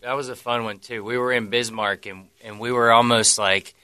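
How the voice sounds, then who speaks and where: A man tells a story in an animated way. His voice is very bright and tense, at a middle pitch, and the sound is close and dry. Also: normal speed, clear, resonant tone, dry recording. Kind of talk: casual chat.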